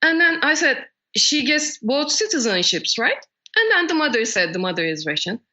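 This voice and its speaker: A woman's voice: sits high, sing-song, moderate pace, bright, forceful tone. A Turkish woman speaking English with a Turkish accent, talking in an emphatic, indignant way during a casual interview and often restarting her sentences. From Turkey. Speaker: interview guest.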